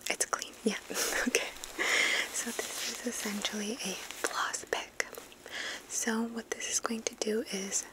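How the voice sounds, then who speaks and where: Softly whispering woman in ASMR roleplay. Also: a little high, level tone, rough recording. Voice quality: breathy whisper. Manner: gentle, slow, reassuring personal attention. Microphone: close ASMR microphone.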